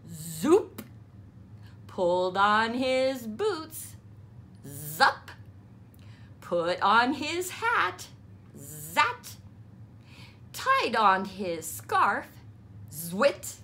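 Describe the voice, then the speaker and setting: A woman reading a children's story aloud, deliberately, with playful emphasis on the sound words. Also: a little high, bright, forceful tone, slight background noise. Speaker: storybook reader. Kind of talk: children's read-aloud narration.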